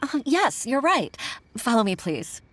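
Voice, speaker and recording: An actress playing an earnest, flustered young junior clerk in a video game, hesitant and deferential in manner. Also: female voice, on the high side, sing-song, a little slow, background noise. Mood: surprised, eager.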